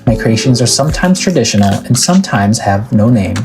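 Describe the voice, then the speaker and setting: A man's voice: medium pitch, expressive, music in the background. A man from Wisconsin narrating a poetic, reflective script about his cooking. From Wisconsin, USA. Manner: poetic and measured. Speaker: cook.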